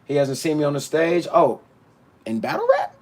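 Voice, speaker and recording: A man's voice: fairly high pitch, very animated, moderate pace, creaky, darkish tone. A man on a livestream who rants bluntly and animatedly, restarting his words as he answers his chat. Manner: talks back to his chat. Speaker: battle rapper streaming to his chat. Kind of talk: casual livestream rant.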